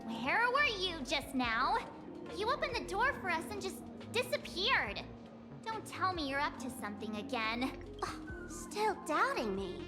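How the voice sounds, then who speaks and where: A cocky young female trickster from a video game. Her very high voice swoops in teasing, sing-song lines, ringing in long reverb with music behind. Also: sing-song, unhurried, full character voice. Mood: teasing, boastful. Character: playful, cocky, mischievous young woman trickster. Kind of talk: video game character acting.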